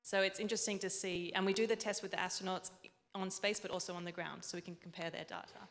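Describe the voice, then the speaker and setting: A young woman engineer giving a clear, explanatory conference talk into a handheld mic in a large hall, now and then correcting herself. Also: mid-range voice, fairly even intonation, fast talker, fairly bright tone. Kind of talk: conference presentation. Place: large event hall with audience. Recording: long hall reverb.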